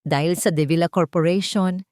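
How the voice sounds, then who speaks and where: A woman's voice: fairly low pitch, fairly even intonation, moderate pace, a little mellow, no echo, clean studio sound, close to an everyday voice. A woman voicing a haughty, insincere society matriarch in a pompous speechmaking manner for an audio drama. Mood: falsely sincere.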